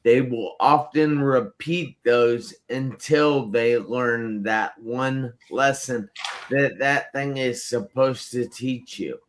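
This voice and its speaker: A man hosting a live online talk show, speaking slowly and emphatically and repeating words for effect. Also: mid-range voice. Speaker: host. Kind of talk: live-stream show host.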